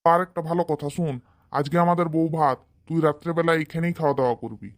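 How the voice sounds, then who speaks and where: A woman plays a doting old village father in a comedy cartoon. The voice sits fairly low for a woman, and he talks in a homely, folksy way. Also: quick pace, close to an everyday voice. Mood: friendly, instructing.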